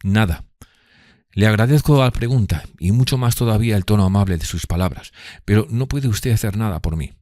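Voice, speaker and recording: A man voicing an earnest, courteous young gentleman in an audiobook, with a polite and sincere manner. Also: fairly low pitch, brisk, no room sound, clean studio sound, pitched above the performer's own voice, close to an everyday voice. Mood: sincere, subdued. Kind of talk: audiobook character reading.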